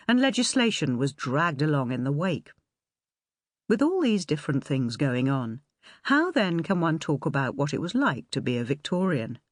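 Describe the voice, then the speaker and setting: A woman reading a nonfiction audiobook in a measured, articulate way. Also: sits low, no echo, clean studio sound. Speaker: audiobook narrator. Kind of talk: scripted audiobook narration of nonfiction.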